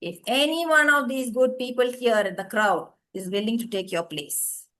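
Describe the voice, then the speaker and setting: A woman storyteller voicing a stern town judge who speaks in a measured way. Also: fairly high pitch, fairly bright tone, lightly acted.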